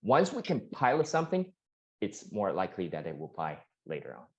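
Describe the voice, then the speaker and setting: A man from Taiwan, a startup founder answering investor questions earnestly, with frequent restarts and fillers. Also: a little fast. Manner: earnest, many restarts and fillers, rises in pitch when animated. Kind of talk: pitch Q&A answers. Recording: dull lo-fi sound.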